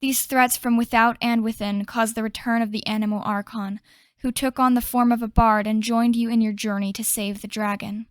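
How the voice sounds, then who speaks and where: A woman acting as a solemn fantasy game storyteller with a somewhat high voice. She delivers scripted narration in a measured manner, recorded very dry and close. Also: little pitch movement, no room sound, natural voice.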